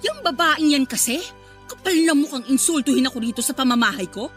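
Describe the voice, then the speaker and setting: A woman's voice: high-pitched, animated, a little fast. A woman playing a vain, spiteful mistress in a Filipino radio drama, sassy, insolent and goading. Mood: taunting, spiteful. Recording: faint music bed behind the voice.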